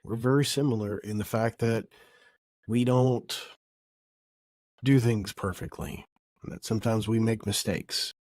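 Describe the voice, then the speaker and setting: A man's voice: lowish voice, steady tone, unhurried, long pauses, darkish tone, dry recording, clean studio sound. A middle-aged man with a cold and a nasal voice, talking through a casual, reflective podcast monologue that rambles and corrects itself as he goes. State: has a cold. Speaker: podcast host.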